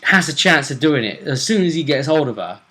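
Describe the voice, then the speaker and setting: Bright, forceful man hosting a gaming chat. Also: a little high, animated, brisk. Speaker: host of a tabletop role-play vidcast. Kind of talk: casual tournament preview chat.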